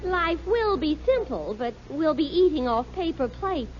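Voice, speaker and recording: A woman's voice: high voice, big pitch swings, moderate pace, lo-fi sound, a faint crowd. A woman playing a cheerful, teasing wife and mother in a 1950s radio comedy, giggling as she speaks. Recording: hissy old radio broadcast with studio audience.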